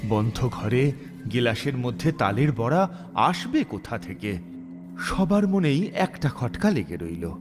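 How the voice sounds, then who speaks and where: A man reading a scripted dramatic story as narrator, expressive and suspenseful. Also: medium pitch, slow pace. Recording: voice over prominent background music. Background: music.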